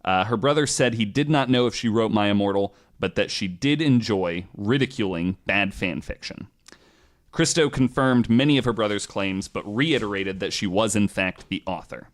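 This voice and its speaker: A man with a fairly low voice hosts a casual podcast. He talks through stories informally, in loose conversational language with casual swearing. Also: lively intonation, normal speed. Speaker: podcast host. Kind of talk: casual storytelling podcast talk.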